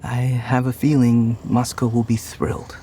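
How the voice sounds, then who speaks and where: A young man voicing a sweet, earnest grown son, an animal character in a motion comic, gentle and a little hesitant, with a soft, breathy voice. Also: mid-range voice, monotone, unhurried, a little hiss or hum, lightly acted. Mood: touched, surprised.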